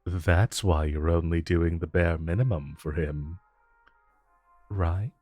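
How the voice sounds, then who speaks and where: Man acting a low-voiced, flirty, smug supervillain. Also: darkish tone, no room sound, faint music behind. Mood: teasing, wry. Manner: teasing, coaxing, drawn-out phrasing. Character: flirtatious, smug infamous supervillain, a teasing regular customer. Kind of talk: romantic audio roleplay, addressed to listener.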